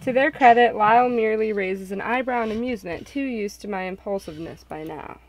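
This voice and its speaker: A woman's voice: medium pitch, a little fast, highs cut off, natural voice. A woman voicing the earnest first-person narrator of a visual novel, reading expressively.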